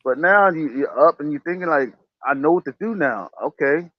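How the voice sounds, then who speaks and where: A man's voice: fairly high pitch, normal speed, no echo. A man hosting a casual talk show, giving motivational advice in an emphatic, preachy way and repeating his phrases. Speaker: podcast host. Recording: dull lo-fi sound.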